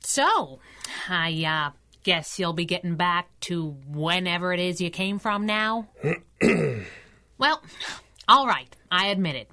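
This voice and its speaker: A brassy female crime-fighting sidekick from a thirties radio adventure. She is jealous and wisecracking, with a lowish, forceful voice and plenty of period slang. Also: animated, slow, long pauses, exaggerated voice. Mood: grudging. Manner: sassy, slangy, suspicious. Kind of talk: 1930s radio serial acting.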